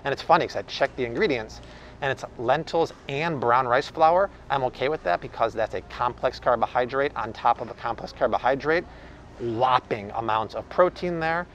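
A man's voice: mid-range voice, a little hiss or hum. A man in his thirties, an enthusiastic food vlogger, chatting casually through a face mask while walking a large supermarket aisle, filmed on a close handheld camera. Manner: enthusiastic, punchy, addresses viewers as fam. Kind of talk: casual grocery haul commentary. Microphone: handheld camera close up, wearing face mask.

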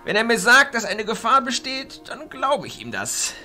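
A man playing an elderly, authoritative arch-chancellor of illusionists in a fantasy audio drama, speaking in a theatrical, deliberate way. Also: extremely high pitch, sing-song, bright, forceful tone, faint music behind, exaggerated voice. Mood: commanding, grave.

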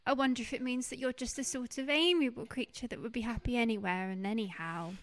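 A woman's voice: on the high side, quick pace, lightly acted. A woman playing a fond, tender older wife in a radio play. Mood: gently doubting. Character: gentle, fond, gently doubting older wife.

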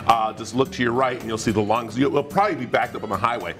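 A man's voice: medium pitch, racing, soft background music. Chatty man hosting a TV studio segment. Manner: lively, laughing often, chatty. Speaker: TV morning show host. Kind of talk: live studio interview. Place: TV studio set.

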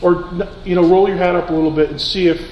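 A man's voice: sits high, fairly even intonation, fast talker, highs cut off, crowd noise. A man teaching science through an informal demonstration, giving short instructions in a lecture hall. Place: large lecture auditorium. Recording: echoey lecture hall, some background noise.

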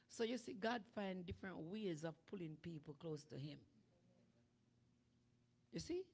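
Woman preaching a fiery sermon. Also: a little high. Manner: animated preaching that builds to shouting. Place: church sanctuary with draped stage. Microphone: handheld microphone.

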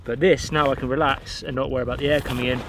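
A man in his thirties from the UK, chatting casually and excitedly to camera while setting up gear outdoors. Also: medium pitch, rapid-fire. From United Kingdom. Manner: upbeat, chatty, slangy. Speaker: outdoor vlogger. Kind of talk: casual show-and-tell to camera. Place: windy pebble beach outdoors. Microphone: camera mic outdoors. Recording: wind noise on outdoor mic. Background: wind and shore ambience.

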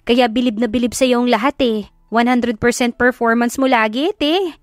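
Woman playing a sassy, high-pitched campy Filipino best friend. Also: moderate pace, no echo, studio quality, lightly acted. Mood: admiring. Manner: exaggerated, campy banter. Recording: background music under the voice.

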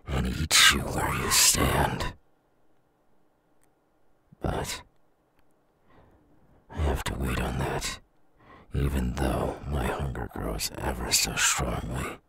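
Here is a menacing demon villain for an ASMR audio roleplay. His voice is extremely low, rasping with heavy fry and breath. He gloats and threatens at a slow pace, like a predator holding back his hunger. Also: flat delivery, pushed and bright, exaggerated voice. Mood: hungry, gloating. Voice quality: very husky, gravelly, breathy.

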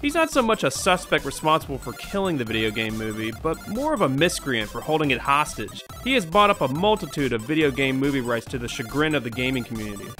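A man's voice: medium pitch, very animated, quick pace, hardly any pauses, fairly bright tone, soft background music. A man in his twenties narrating a scripted video essay as host, wry, sarcastic and profane, recorded in a small room at home. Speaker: host of a video game movie review show.

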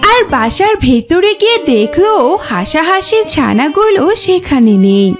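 Casting: woman narrator for a kids' fairy tale; high, animated delivery over soft music. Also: moderate pace. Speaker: story narrator. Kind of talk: scripted children's story narration.

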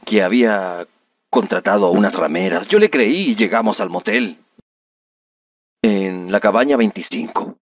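Man acting melodramatic wronged young man, swooping pitch. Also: breathy edge, dry recording, distant mic, exaggerated voice. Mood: aggrieved recounting. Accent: Latin American neutral dubbing Spanish. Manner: melodramatic, indignant, dubbed-film diction. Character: aggrieved young man recounting a humiliation to reporters. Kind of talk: amateur comedic dub of a news interview.

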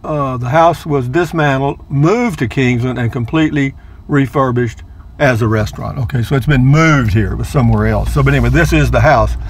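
A man's voice: medium pitch, fairly quick, slight background noise. An older man, narrating a casual vlog from inside his car, chatty and rambling, repeating words playfully. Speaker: vlogger.

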